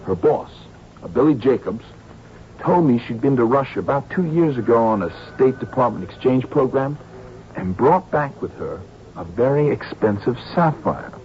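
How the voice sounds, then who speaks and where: An actor playing a dutiful, plain-spoken police sergeant in an old-time radio drama. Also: male voice, medium pitch, animated, normal speed, natural voice. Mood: reporting. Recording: dull old radio recording, faint noise.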